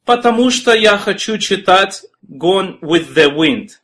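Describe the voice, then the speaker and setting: A man playing a friendly, curious man in a scripted dialogue for language learners, speaking clearly and carefully. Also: high voice, expressive, slow pace, fairly bright tone, exaggerated voice. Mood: polite, curious. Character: friendly curious adult man making small talk. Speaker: dialogue partner in a language lesson.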